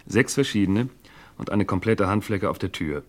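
A diligent police inspector played by a man in a radio crime play. His voice is low, and he itemises things precisely, in a matter-of-fact, methodical manner. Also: expressive, moderate pace, close to an everyday voice. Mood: factual, methodical. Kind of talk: German radio crime drama acting.

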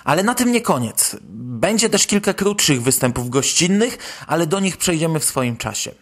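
A man's voice: a little high, animated, moderate pace, fairly bright tone, husky. A Polish man hosting a podcast, commenting on news in a conversational, wry way. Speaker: podcast host.

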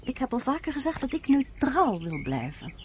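A caring, hesitant woman in a radio play. Her voice sits fairly high and sounds earnest and reflective. The old recording carries a little noise. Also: very animated, moderate pace. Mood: troubled, earnest. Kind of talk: Dutch radio play acting.

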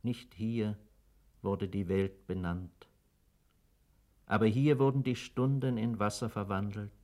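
A man reciting verse for a radio play in a solemn, measured manner. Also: on the low side, steady tone, mellow.

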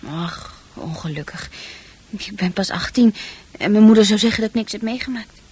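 A woman's voice: mid-range voice, normal speed, darkish tone, slightly rough. An actress playing a troubled eighteen-year-old girl in a radio play, hesitant and wistful, guarded but longing to escape. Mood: wistful, melancholy. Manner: hesitant, wistful delivery with repeated words.

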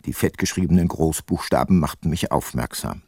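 An actor playing an ageing, remorseful detective and former prosecutor in a radio drama, narrating and talking in a measured, reflective way. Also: male voice, extremely low pitch, dark tone, slightly rough, no echo, clean studio sound, heavily acted. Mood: reflective, sober. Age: older. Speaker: narrator and lead character.

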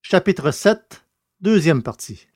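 A man hosting a podcast and reading a novel aloud in a measured, deliberate way. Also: sits high, expressive, a little mellow. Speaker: podcast host and narrator. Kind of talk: scripted audiobook narration.

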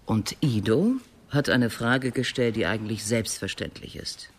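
An actor playing a thoughtful literary man in a radio drama, explaining in a deliberate, reflective way with a creaky voice. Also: lowish voice. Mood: reflective, puzzled. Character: thoughtful, reflective literary acquaintance of a murdered professor. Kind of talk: German radio drama acting. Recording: faint background noise.

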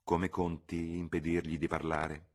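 A man's voice: low voice, little pitch movement, unhurried. A man voicing a fussy, reproving older spinster chaperone in an audiobook reading. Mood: disapproving. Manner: mildly reproving, prim, insistent.

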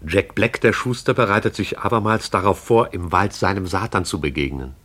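An actor with a husky voice as the poetic, all-seeing narrator of a radio play. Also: male voice, low-pitched, fairly quick, a bit bright. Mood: lyrical, wry.

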